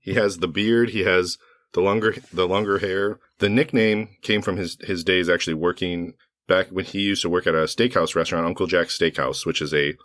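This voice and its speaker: Slightly hoarse, very deep-voiced man. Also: fairly even intonation, moderate pace, a bit bright, no room sound, clean studio sound. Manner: rambling, with frequent fillers and self-corrections. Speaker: podcast co-host. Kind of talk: casual podcast chat.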